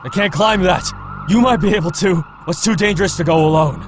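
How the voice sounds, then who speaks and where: Visual novel runaway, played by a man: reckless, commanding, higher-pitched and animated, sirens behind. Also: fairly quick, close to an everyday voice. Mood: terse, determined. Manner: urgent, commanding, terse exclamations. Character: gruff, reckless, reassuring drunken man on the run. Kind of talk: dramatic visual novel character reading. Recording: sirens and street noise behind voice. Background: sirens, cars.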